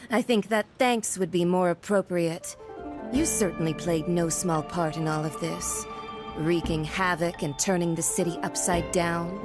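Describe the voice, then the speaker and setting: A woman's voice: lowish voice, a little slow, close to an everyday voice. Woman voicing an icy haughty female envoy. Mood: mocking. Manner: icy, commanding, disdainful. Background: music.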